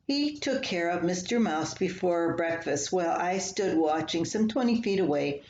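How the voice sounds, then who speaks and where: An older woman reading her own humorous personal column aloud in a folksy, unhurried storytelling manner. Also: lowish voice, a little mellow. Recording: echoey room, slightly dull, faint hiss.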